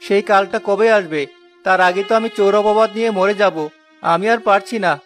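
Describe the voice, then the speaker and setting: A man's voice: sits high, fairly even intonation, fairly quick, fairly bright tone. A man voicing a poor, honest porter in a cartoon moral tale, earnest and plaintive. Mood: despairing. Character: poor honest male porter, proud, wronged, humble. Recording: music behind the voice.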